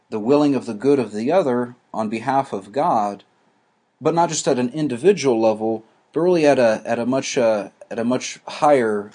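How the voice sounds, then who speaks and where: A man teaching a class, explaining ideas slowly with frequent restarts and fillers. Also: medium pitch, a little mellow. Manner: halting, many restarts and fillers.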